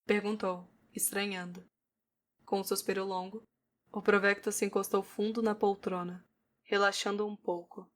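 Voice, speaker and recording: Female narrator, Brazilian accent, scripted audio novel reading, mid pitch, steady flat intonation. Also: normal speed.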